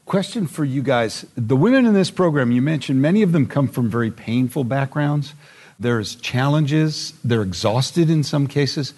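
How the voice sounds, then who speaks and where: An interviewer with a husky, mid-pitched voice. The host asks questions in a conversational way and adds short devotional affirmations. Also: animated, normal speed, a little mellow.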